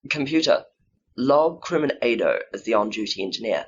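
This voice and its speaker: A man's voice: on the low side, lively intonation, normal speed, husky. Sly starship engineer, slightly muffled, played by a man. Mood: brisk, sly. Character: dutiful but sly male starship engineer lieutenant. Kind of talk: audio drama acting.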